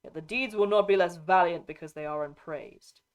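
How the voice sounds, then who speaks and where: A woman's voice: on the low side, normal speed, clear, resonant tone, lightly acted. Stern, resolute noble lord, read by a woman. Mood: grave counsel. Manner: solemn, firm, archaic formal phrasing. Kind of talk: dramatic audiobook character reading.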